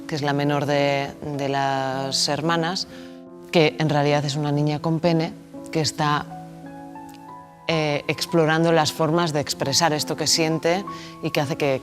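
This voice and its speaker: A woman's voice: deep voice, level tone, normal speed, over music. A woman film director from the Basque Country with a Basque Spanish accent, answering interview questions in a thoughtful, hesitant way and often repeating words as she searches for them. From Basque Country, Spain.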